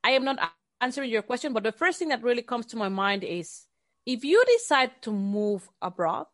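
High-voiced Mexican woman, emphatic. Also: expressive, fairly quick, a bit bright. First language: Spanish. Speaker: interview guest. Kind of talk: casual conversational interview.

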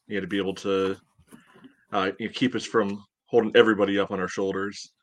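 Low-voiced man, dry and close-sounding. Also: level tone, quick pace, dry recording. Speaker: podcast co-host. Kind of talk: casual sports analysis chat.

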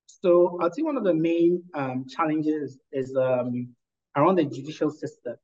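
An articulate man from Ghana talks through his career as an interview guest, in a fairly high voice. He speaks analytically with occasional fillers. The audio sounds slightly muffled. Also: animated, a little slow, a little mellow. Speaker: guest, lawyer and entrepreneur.

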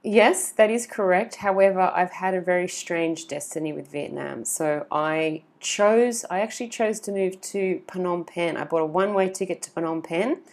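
A woman's voice: lowish voice, normal speed, few pauses, darkish tone. An Australian woman in her forties, chatting as an interview guest, rambling with lots of fillers and restarts. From Sydney, Australia. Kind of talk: casual interview.

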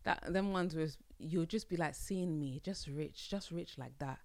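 A woman's voice: low-pitched, unhurried, gravelly, a little mellow, dry recording. A young woman hosting a solo podcast, talking casually in a playful, slangy way, often laughing. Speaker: podcast host.

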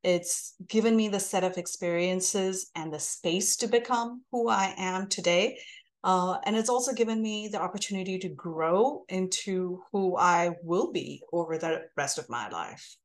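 Woman in her 40s, Indian-American blended accent, thoughtful interview guest recounting her journey. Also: on the low side. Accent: mixed Indian and American. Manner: thoughtful, measured storytelling. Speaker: podcast guest. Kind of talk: reflective interview talk.

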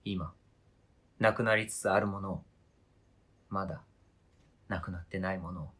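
A man's voice: sits low, steady tone, moderate pace, a bit bright, breathy edge. A man acting a stubborn, hurt partner in an amateur radio drama, questioning intensely and insistently. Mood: hurt, grave. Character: stubborn, hurt partner fiercely attached to an old toy.

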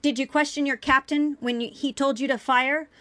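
Woman acting as menacing female alien interrogator, story villain, contemptuous, forceful, creaky voice. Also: medium pitch, normal speed, no room sound, natural voice. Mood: furious yelling. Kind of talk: dramatic fiction reading.

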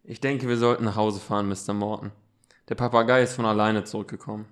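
A man's voice: lowish voice, fairly even intonation, quick pace, pitched below the performer's own voice, close to an everyday voice. A man as a precocious, earnest boy detective. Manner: formal, precise wording. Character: Justus, earnest precocious teenage boy detective, urgent, pedantic. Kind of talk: amateur radio play reading.

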